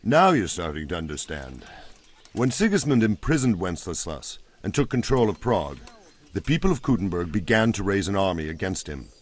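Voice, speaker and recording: A low male voice as a seasoned soldier in a medieval game drama. He is plain-spoken and grave, and explains matters patiently, as if to a younger man. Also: big pitch swings, moderate pace. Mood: grave, instructive. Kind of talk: video game cutscene acting.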